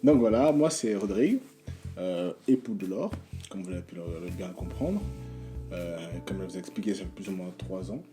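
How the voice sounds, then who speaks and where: Dark, halting French-speaking man. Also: low-pitched, animated, faint music behind. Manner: halting, many fillers and restarts. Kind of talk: hesitant casual presentation.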